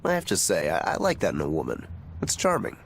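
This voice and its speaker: A man's voice: very deep voice, huge pitch swings, fast talker, gravelly, a little hiss or hum, heavily acted. A man playing an easygoing, frank elder swordsman in a video game, casual and wry. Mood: admiring. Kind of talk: animated video game dialogue.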